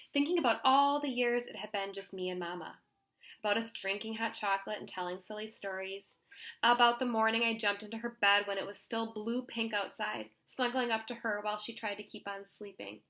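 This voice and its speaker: A woman with a fairly high, very bright voice reads a picture book aloud as a teacher. She is expressive and instructive, prompting young listeners with questions. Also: normal speed. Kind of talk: children's story read-aloud with questions to pupils.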